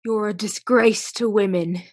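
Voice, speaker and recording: A woman playing a haughty, defiant society lady accused of murder in a radio mystery, with a disdainful, deliberate delivery. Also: medium pitch, fairly even intonation, natural voice. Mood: smug, contemptuous. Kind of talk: period mystery radio play acting.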